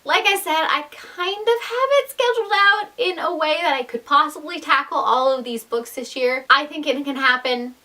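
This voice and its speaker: A woman's voice: high voice, moderate pace, hardly any pauses, pushed and bright. A young adult woman chatting casually to viewers as a book vlogger, enthusiastic and emphatic, with self-deprecating asides.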